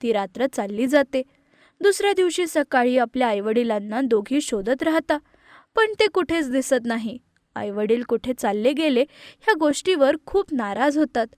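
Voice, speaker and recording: A woman narrator with a very high voice tells a scripted moral tale for animation. The recording is close and dry. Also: dry recording. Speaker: story narrator.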